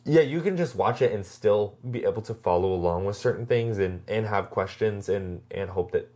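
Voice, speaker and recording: Low-pitched podcast co-host, earnest and halting. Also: dark tone. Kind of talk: casual chat reviewing a web horror series.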